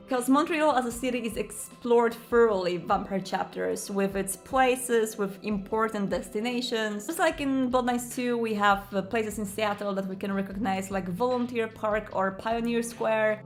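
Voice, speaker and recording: Higher-pitched woman hosting an enthusiastic explainer. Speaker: video host and game reviewer. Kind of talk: enthusiastic scripted-casual product explainer to camera.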